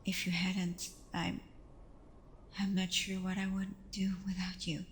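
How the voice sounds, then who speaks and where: A woman playing a tender, playful vampire girlfriend in an intimate ASMR roleplay, speaking softly and often slipping into whispers with hesitant pauses. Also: on the low side, completely flat delivery, a little hiss or hum, lightly acted. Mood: tender, earnest. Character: tender female vampire girlfriend, reassuring, playful, a little vulnerable.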